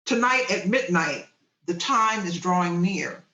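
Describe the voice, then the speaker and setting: A man's voice: high-pitched, lively intonation, unhurried, bright, forceful tone, exaggerated voice. A man playing a solemn Christmas ghost. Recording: roomy, dull video call audio.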